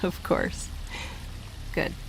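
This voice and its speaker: A woman's voice: drawn-out words, slight background noise, low-quality recording. A woman playing a weary, rambling space scientist in an audio drama, with a hesitant, stammering, wry delivery. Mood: uneasy, hesitant. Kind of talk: naturalistic audio drama acting.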